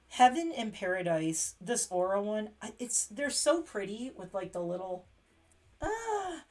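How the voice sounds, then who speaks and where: A woman hosting a casual makeup livestream, chatty and excitable, laughing often. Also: fairly high pitch, slow. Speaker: beauty livestream host.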